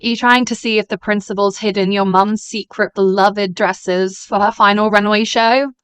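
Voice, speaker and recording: Woman playing smug rival schoolgirl, sardonic drawl, clear smooth voice, very dry sound, web drama. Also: medium pitch, fairly even intonation, moderate pace, a bit bright, dry recording, natural voice. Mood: smug, taunting. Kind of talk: voice-acted series dialogue.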